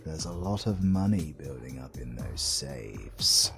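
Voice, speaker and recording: Casting: male actor as slow-talking British supervillain, suave and teasing, low-pitched, for romantic comedy audio drama. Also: very animated, gravelly, fairly bright tone, soft background music, full character voice. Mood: smug, scheming. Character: suave, sardonic male supervillain, playfully flirtatious.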